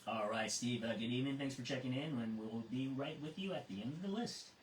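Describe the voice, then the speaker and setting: A middle-aged or older man acting as net control on a ham radio net, talking casually through check-ins and often halting to repeat words. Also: medium pitch. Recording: roomy, distant mic, faint noise.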